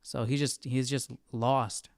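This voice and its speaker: A man hosting a Bible study, chatting casually and reflectively, thinking aloud in a halting way. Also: medium pitch, level tone, noisy background. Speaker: host of a live Bible study. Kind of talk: casual chat, commentary on scripture.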